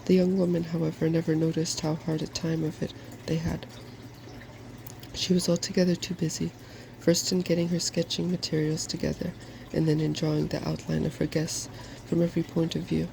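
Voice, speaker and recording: A soft-spoken storyteller reads a fairy tale in a gentle ASMR manner. The voice is full of fry and stays very even in pitch. A faint noise sits behind it. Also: brisk, rough recording. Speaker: narrator reading fairy tales.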